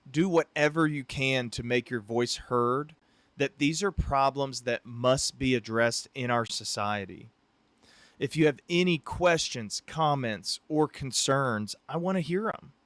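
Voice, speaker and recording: A man's voice: mid-range voice, a bit bright, dry recording. American man, dry close podcast sound. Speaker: podcast host, psychiatric practitioner. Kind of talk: casual podcast conversation.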